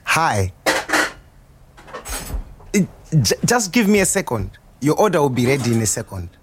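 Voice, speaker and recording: An actor playing a proud, warm restaurant owner reminiscing in a theatrical storytelling monologue. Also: male voice, mid-range voice, moderate pace, slight background noise, lightly acted. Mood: welcoming, chatty. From Zimbabwe. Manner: animated, chuckling, theatrical storyteller. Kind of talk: dramatised monologue, storytelling to a customer.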